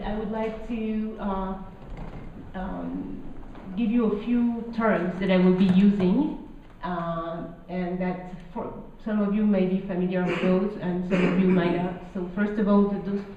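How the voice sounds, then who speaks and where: A woman's voice: mid-range voice, fairly even intonation, unhurried, slight background noise. A middle-aged woman with a strong French accent giving a friendly, hesitant public lecture in a small gallery, full of fillers. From France. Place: small gallery room with guests. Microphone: handheld mic, far camera. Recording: roomy, distant, dull lo-fi.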